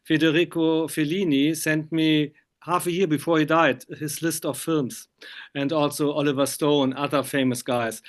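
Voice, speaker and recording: Higher-voiced man, halting English. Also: level tone. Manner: chatty, halting non-native English phrasing. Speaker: film magazine interviewer. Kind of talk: casual interview over video call.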